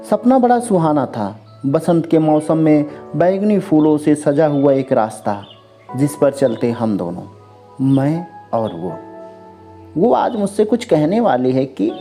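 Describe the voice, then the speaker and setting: A storyteller narrating a scripted first-person story in an expressive, dramatized way. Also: mid-range voice. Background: background music.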